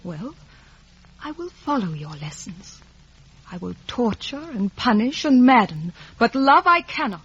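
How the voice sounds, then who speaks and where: A woman's voice: on the high side, big pitch swings, measured pace, a bit bright, full character voice. An actress playing a cold, proud young woman, speaking formally and declaiming in 1950s radio drama style. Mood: proud, unyielding. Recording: dull vintage radio recording.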